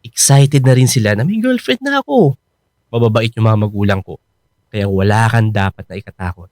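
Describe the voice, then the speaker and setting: A man's voice: lowish voice, normal speed, dry recording, close to an everyday voice. Man acting as earnest, reassuring boyfriend, dry sound. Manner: expressive, protesting, reassuring. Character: earnest grown son, reassuring boyfriend, exasperated by teasing. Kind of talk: Filipino radio drama acting.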